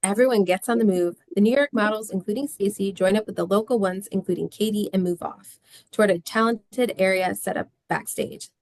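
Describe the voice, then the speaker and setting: A woman's voice: mid-range voice, fairly quick, a little mellow, dry recording. A woman reading scripted stage directions as narrator of a screenplay table read.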